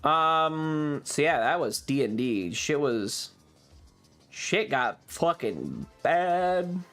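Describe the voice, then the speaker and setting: A man's voice: on the high side, very animated, extremely slow, fairly bright tone, soft background music. A man chatting casually to stream viewers, telling stories emphatically with heavy swearing and repeated phrases. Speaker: livestreamer. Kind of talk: casual chat, animated storytelling to stream viewers.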